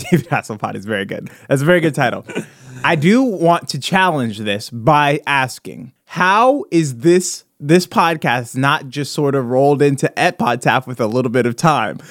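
Male comedy podcast co-host, lighter-pitched and very animated, close dry sound, loose excitable riffing. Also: no room sound. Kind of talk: casual comedy podcast chat.

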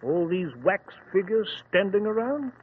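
A fussy older gentleman, the detective's earnest companion in a radio play. His voice sits high for a man and blusters in hesitant, old-fashioned phrases. It comes through a thin, noisy vintage recording. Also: unhurried, phone-quality sound. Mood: nervous wonder. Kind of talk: old-time radio drama acting.

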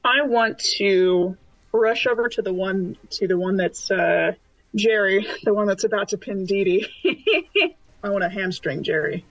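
A woman's voice: mid-range voice, animated, a little slow, dry recording. A woman chatting casually as a tabletop roleplaying player, hesitant and thinking aloud, on a dull recording. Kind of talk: casual in-game description and table chat.

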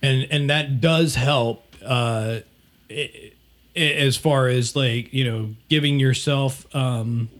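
A man with a raspy voice co-hosting a casual podcast chat, rambling with frequent restarts and laughing easily. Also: medium pitch, fairly even intonation, takes it slowly, fairly bright tone. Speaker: podcast co-host, DJ.

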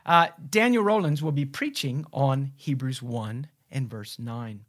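A man's voice: mid-range voice, measured pace, fairly bright tone. A man giving an informal spoken introduction as a host, telling church history in an earnest, explanatory way.

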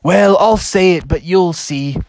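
A woman's voice: on the low side, measured pace, darkish tone, dry recording, voice pushed up. A woman voicing a big, reluctant, anxious male egg with a hesitant, protesting delivery.

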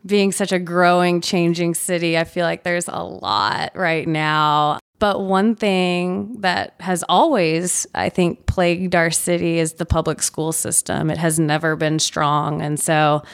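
Brief: woman, 30s, Nashville native, smooth clear voice, dry close sound, chatty guest. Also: fairly low pitch, a little slow, hardly any pauses, no echo. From Nashville, USA. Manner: relaxed, chatty, laughs easily. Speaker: podcast guest. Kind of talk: casual interview chat.

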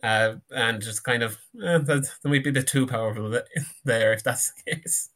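A man's voice: mid-range voice, normal speed, a bit bright. A man chatting casually as a podcast panellist, tentative and polite in how he puts his points. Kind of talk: casual chat.

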